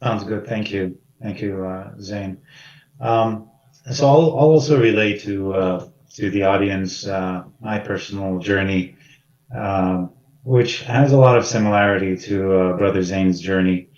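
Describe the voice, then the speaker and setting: A man from Iraq on a community panel, telling his own story in a soft-spoken, hesitant way. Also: fairly low pitch, a little slow. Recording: roomy, distant and dull.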